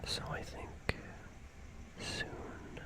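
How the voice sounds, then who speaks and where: An ASMR performer whispering a monologue in a deliberate, whimsical, mock-formal manner with long pauses. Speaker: ASMR streamer playing a character.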